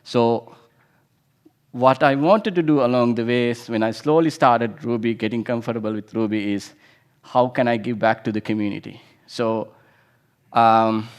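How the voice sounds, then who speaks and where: A man's voice: mid-range voice, moderate pace, smooth. Guy from sri lanka doing a conference talk, chatty, lots of um and restarts. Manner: informal, with frequent restarts and fillers. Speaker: conference speaker.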